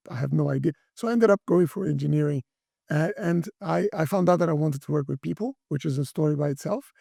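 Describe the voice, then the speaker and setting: A middle-aged man from Brazil, speaking as an interview guest, talking in a halting way with many restarts and fillers. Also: fairly high pitch, gravelly, dark tone, slightly rough, no room sound, studio quality. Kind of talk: interview conversation.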